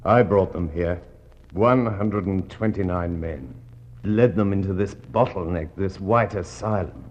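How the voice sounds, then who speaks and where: Grave, anguished doomed commander. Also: male voice, low voice, darkish tone. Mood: remorseful. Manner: grave, theatrical, deliberate. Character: anguished doomed male ship's commander, trapped in ice. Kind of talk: 1960s poetic radio drama monologue. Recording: dull, noisy 1960s radio recording.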